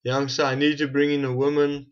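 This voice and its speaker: A dull, lo-fi recording of a South African man with an Afrikaans mother tongue. His mid-pitched voice stays flat and even as he talks casually through a game, hesitating and repeating himself as he goes. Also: fairly quick. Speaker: gaming video host. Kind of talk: casual gameplay commentary. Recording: dull, muffled lo-fi home recording.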